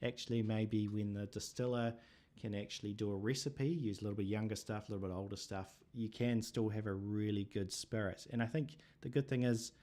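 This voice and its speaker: A man with a New Zealand accent hosting a casual livestream chat, relaxed and thinking aloud with plenty of fillers. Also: lowish voice, fairly quick. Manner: relaxed, thinking aloud with many fillers, reading viewer comments. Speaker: livestream host.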